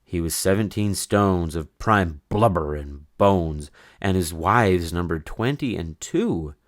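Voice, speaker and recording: A man reading a children's story in verse aloud, with an expressive, measured, sing-song delivery. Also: low-pitched, no echo, clean studio sound. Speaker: storybook reader.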